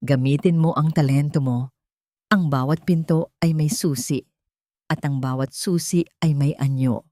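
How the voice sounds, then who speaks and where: A woman voicing a bitter, wise old woman who gives hushed counsel. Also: low-pitched, fairly even intonation, unhurried, dry recording, studio quality.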